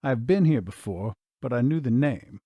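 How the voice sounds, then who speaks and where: A man's voice: mid-range voice, expressive, normal speed, slightly rough, no echo, lo-fi sound. A man voicing a young woman who ran away from home in Texas. He makes her hesitant and evasive. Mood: explaining.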